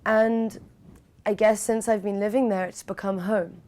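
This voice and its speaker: A woman answering interview questions in a measured, calm way, with some hesitations. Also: medium pitch.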